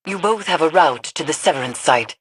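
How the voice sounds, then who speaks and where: A woman playing a solemn, stern mystic guide in a video game, speaking formally and deliberately. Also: deep voice, lively intonation, slightly rough, no room sound, low-quality recording. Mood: commanding. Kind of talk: video game mission dialogue.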